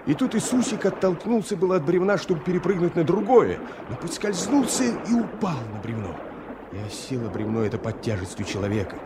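A man's voice: fairly high pitch, sing-song, a little fast, noisy background. A man acting as the storyteller of a radio drama, delivering scripted narration with dramatic feeling. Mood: urgent. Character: dramatic male storyteller of river adventure.

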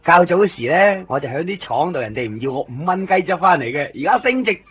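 A man acting an eager, chatty toy shop owner in a radio drama, rambling excitedly in Hong Kong Cantonese. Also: a little high, fast talker, muffled recording. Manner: excitable, rambling, fond of showing off toys. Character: eager, chatty male second-hand toy shop owner, kind to customers. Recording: band-limited 1990s radio recording with faint music.

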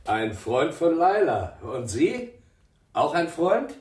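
An actor playing an inquisitive bon-vivant gentleman in a radio crime drama, measured, knowing and probing. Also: a little high, big pitch swings.

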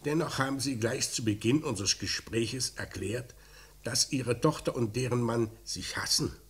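A man's voice: mid-range voice, normal speed, lightly acted. A man playing a busy, authoritative police inspector in a 1950s German radio crime drama, questioning patiently and giving clipped orders. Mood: dry, patient. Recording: 1950s mono radio recording.

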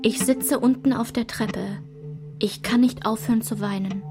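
An actress playing a woman who recounts a traumatic childhood memory in a measured, restrained literary radio play narration, at times dropping to a whisper. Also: fairly high pitch, steady tone, over loud music, natural voice. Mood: sad, subdued.

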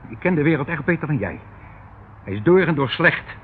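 A man's voice: on the high side, expressive, fairly quick, phone-quality sound, lightly acted. A thin, hissy radio-play recording carries a male actor as a middle-aged uncle, pompous and falsely doting. His voice swoops theatrically as he rambles and patronises, slippery and evasive throughout. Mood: scornful, insistent. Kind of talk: Dutch radio play acting.

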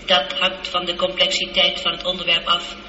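An actress playing a calm, logical, seductive spaceship computer in a radio drama, speaking in a measured, precise and unemotional way. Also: female voice, on the low side. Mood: calm, factual. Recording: muffled, echoey, noisy old radio recording.

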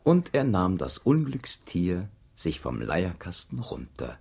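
Playful narrator, low and husky, highly expressive, rhymed children's storytelling. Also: male voice, takes it slowly, heavily acted. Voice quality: gravelly, husky.

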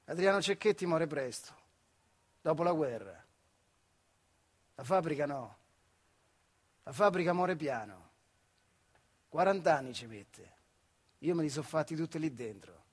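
An actor playing a bitter, weary retired factory worker in a theatrical monologue, with a central Italian accent from the Marche, swinging between muttering and outbursts. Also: male voice, on the high side, expressive, unhurried, long pauses, husky. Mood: weary, resigned. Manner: colloquial, rhetorical questions, swings from muttering to outbursts. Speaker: stage actor. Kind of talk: theatrical monologue in dialect-tinged speech.

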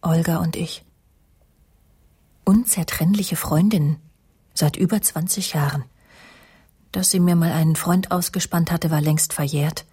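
Casting: bitter middle-aged woman, breathy low voice, intimate confessional narration for radio crime play. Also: fairly even intonation, dark tone, full character voice. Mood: confiding, bitter. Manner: measured, confiding, often dropping to breathy murmurs. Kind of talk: German radio crime drama, narrated monologue and dialogue.

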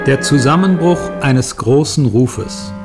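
A man's voice: mid-range voice, expressive, slow, over loud music. A man announcing the titles of a radio play in a formal scripted style.

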